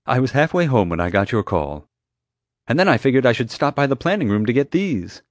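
A man voicing a flustered adult publicist who explains himself in a hurried way. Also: mid-range voice, big pitch swings, no room sound, clean studio sound, voice pushed up, lightly acted.

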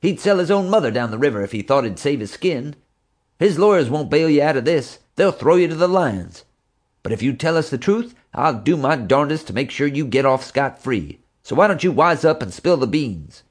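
A man voicing a furious, blustering older zoo tycoon who rants loudly in a raised, folksy voice. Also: fairly high pitch, lively intonation, normal speed, pitched above the performer's own voice. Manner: ranting, folksy, loud and raised.

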